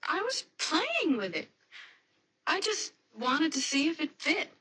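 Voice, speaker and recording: Radio mystery fiancée, young American: bright, high, animated voice, stubborn yet anxious, quick to protest. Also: female voice, full character voice. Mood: stubborn, irritated. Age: young adult. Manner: hesitant, protesting, emotional. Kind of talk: radio mystery drama acting.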